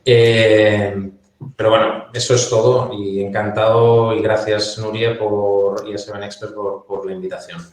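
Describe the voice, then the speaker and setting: A man's voice: lowish voice, level tone, heavy reverb, low-quality recording. A man introducing himself as a finance director on a webinar panel, hesitant with many restarts. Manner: slow, hesitant, light humor.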